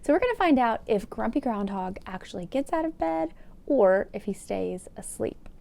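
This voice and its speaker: American woman, children's storytime host, animated, high pitch, frequent vocal fry, addressing kids directly. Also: normal speed. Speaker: children's read-aloud host. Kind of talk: explaining and reading a picture book to children.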